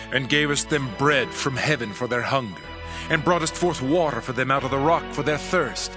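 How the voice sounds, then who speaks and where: A man's voice: on the high side, natural voice. A man playing a solemn Levite in an earnest, declamatory prayer from a dramatized scripture reading. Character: solemn male Levite recounting God's deeds in prayer. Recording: music bed under the voice.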